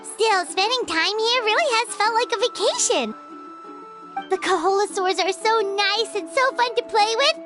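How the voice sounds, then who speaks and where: A woman voicing a tiny, childlike fairy companion in a video game, squeaky, bubbly and chatty in a perky manner. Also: lively intonation, moderate pace, music in the background. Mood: cheerful, chatty. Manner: perky, talks of herself in third person. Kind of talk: video game character acting.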